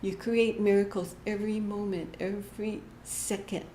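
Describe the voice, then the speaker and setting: A woman giving a short inspirational church message, gentle and halting, often laughing at herself. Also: mid-range voice, little pitch movement. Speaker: church speaker. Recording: echoey hall with faint room noise.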